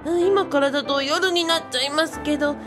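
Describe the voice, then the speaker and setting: A woman's voice: high voice, quick pace, over music, natural voice. A woman voicing an eager, bookish boyish male sidekick who speaks politely with quirky sentence endings in a comic radio drama.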